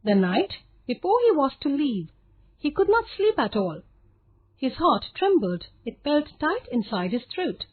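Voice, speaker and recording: A woman's voice: high voice, big pitch swings, a little slow. A woman narrating a scripted story for children as a storyteller. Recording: phone-like narrow, lo-fi sound.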